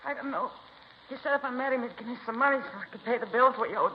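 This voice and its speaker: An actress as high, frantic, distraught wife. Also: female voice, fast talker, lightly acted. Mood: pleading. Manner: frantic, pleading, repetitive. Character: distraught, bitter wife of a wanted robber. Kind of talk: 1950s radio melodrama acting. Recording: dull, hissy 1950s radio recording.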